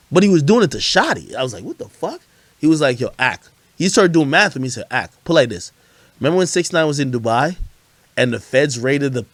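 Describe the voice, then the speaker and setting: A man chatting casually on a livestream as host, telling stories in an animated, slangy way and swearing freely. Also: medium pitch, dry recording. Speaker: livestream host commenting on hip hop. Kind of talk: casual livestream chat, storytelling.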